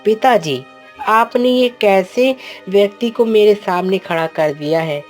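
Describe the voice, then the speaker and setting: A woman's voice: medium pitch, unhurried, darkish tone, faint music behind, close to an everyday voice. A woman voicing a proud, stubborn young princess who argues with her father in a cartoon story. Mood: scornful. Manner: indignant, defiant. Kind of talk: Hindi cartoon storybook voice acting.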